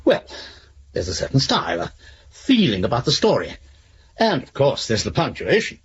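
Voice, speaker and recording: An actor playing a polite, bookish manuscript expert who rambles absent-mindedly in a genteel manner in a radio drama. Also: male voice, mid-range voice, huge pitch swings, normal speed, slightly rough, highs cut off, heavily acted. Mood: pedantic.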